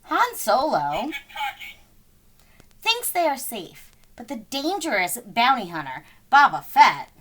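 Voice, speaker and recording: A woman reading a children's story aloud in an expressive, dramatic way, with deliberate phrasing and stressed words. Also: a little high, a little hiss or hum. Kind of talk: expressive scripted read-aloud narration.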